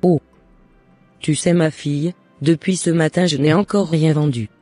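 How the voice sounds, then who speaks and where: A woman as a long-suffering mother, mellow and dry. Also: deep voice, steady tone, a little slow, no room sound, faint music behind. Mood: hurt, sorrowful. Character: long-suffering, devout, hard-working shopkeeper mother.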